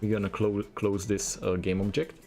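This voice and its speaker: A low, gravelly man's voice with a Czech accent talks through his coding tutorial one step at a time. He keeps returning to "we're gonna" as he goes. Faint music plays under him, and keys tap now and then. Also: little pitch movement, moderate pace, warm tone. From Czech Republic. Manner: plain step-by-step instructions, repeats "we're gonna". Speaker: programming tutor. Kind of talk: screen-recorded coding tutorial.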